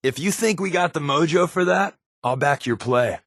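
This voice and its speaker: An actor playing a wisecracking, sardonic spy companion in a video game, with casual scripted dialogue. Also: male voice, a little high, animated, moderate pace, a bit bright, no echo, studio quality. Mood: breezy, teasing. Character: wry, joking male spy companion with dry swagger.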